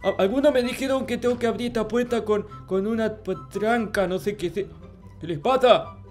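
Man doing a high-voiced, clueless little noob. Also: moderate pace, a little mellow, faint music behind, lightly acted. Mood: puzzled, complaining. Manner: babyish, stammering, repetitive, easily flustered. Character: childish, clueless, grumpy little noob game character. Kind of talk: improvised Minecraft roleplay narration.

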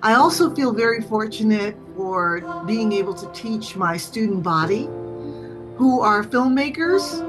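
A woman's voice: medium pitch, poor audio. A woman in her sixties giving a personal testimonial to camera as a professor. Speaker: film and television professor. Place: room at home. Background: guitar music.

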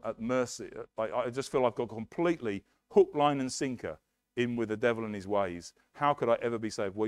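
A man's voice: lowish voice, darkish tone. A middle-aged man with an English accent preaching a sermon earnestly at a lectern in a church hall, on a headset mic. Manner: earnest, emphatic preaching with hand gestures at a lectern. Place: church hall, medium size, indoors.

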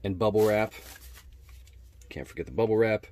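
A man's voice: lowish voice, lively intonation, moderate pace, very noisy. A man doing a casual, laid-back unboxing narration as a product reviewer, speaking in colloquial language. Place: indoors at a wooden workbench.

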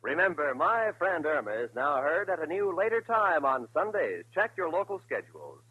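A man's voice: a little high, big pitch swings, normal speed, slightly rough. An American man announcing in the formal style of a 1950s radio network. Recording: muffled old radio with music.

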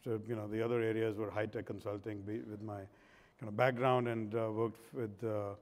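A man's voice: on the low side, little pitch movement, moderate pace. Man from India on a panel, hall echo. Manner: earnest, hesitant with many fillers. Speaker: pharma executive panelist. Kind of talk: conference panel talk. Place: large conference stage. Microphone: lapel mic. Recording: long hall reverb.

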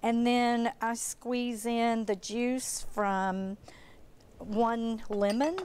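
A woman's voice: a little high, steady tone, drawn-out words, darkish tone. A woman in her fifties chatting through a cooking demonstration as a guest cook, on a lapel mic in a studio kitchen. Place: TV studio kitchen set, indoors. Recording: clearly noisy studio background.

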